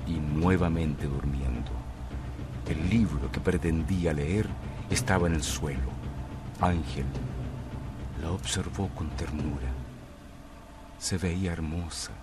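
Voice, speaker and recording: A man narrating a radio mystery tale in a measured, dramatic way. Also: rumbling low, noisy background. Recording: music bed under the voice.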